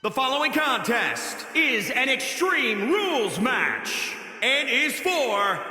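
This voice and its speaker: A grandiose wrestling ring announcer for a video game. He is piercingly bright and slow, stretching words out. Long arena reverb trails his projected voice. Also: extremely high pitch, very animated, faint music behind, full character voice. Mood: grand, formal.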